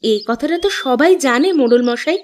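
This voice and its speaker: A woman's voice: high-pitched, expressive, brisk, slight background noise. A woman voicing a poor village mother in a cartoon, speaking in a plaintive, respectful and dramatic way. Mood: pleading. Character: poor, pleading village mother, abandoned wife. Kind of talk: Bengali cartoon voice acting. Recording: loud background music under the voice.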